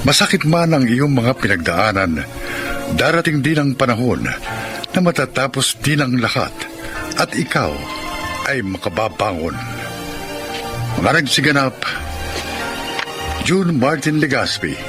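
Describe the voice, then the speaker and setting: Male announcer, dramatic scripted narration, medium pitch, very expressive melody, music underneath. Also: unhurried, long pauses.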